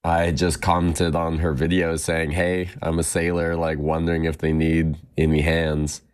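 Extremely deep-voiced man, casual. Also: level tone, a little slow, a little mellow, clean tone. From British Virgin Islands. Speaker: interviewee, sailor. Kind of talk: casual interview recollection.

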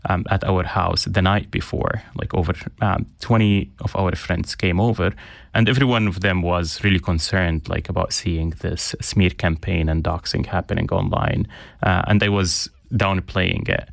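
Young man from Syria, very low voice, gravelly fry, earnest interview talk, dry close sound. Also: fairly even intonation, normal speed, no echo. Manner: earnest, with frequent ums and restarts. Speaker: interview guest. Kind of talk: reflective interview conversation.

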